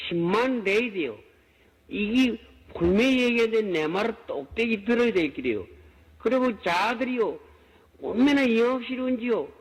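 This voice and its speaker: A man's voice: high-pitched, long reverb tail, low-quality recording. A man playing a Joseon-era scholar-official who urgently warns his king in a thick Gangwon Province dialect, rambling in plain colloquial talk. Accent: Gangwon Province Korean dialect.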